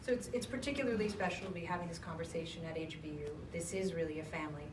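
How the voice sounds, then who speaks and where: A woman's voice: lowish voice, racing. A woman moderating a public conversation, polite and upbeat. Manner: polite, upbeat, laughs easily. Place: event hall. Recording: echoey room, mic at a distance, noisy.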